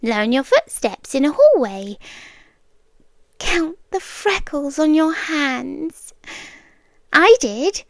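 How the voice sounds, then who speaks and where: A British woman acting in an ASMR roleplay as an obsessive, calmly possessive girlfriend, speaking intimately and often slipping into whispers. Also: high-pitched, takes it slowly, long pauses, no echo, exaggerated voice. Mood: devoted, intense. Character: obsessive, soft-spoken yandere girlfriend, calmly possessive young woman captor.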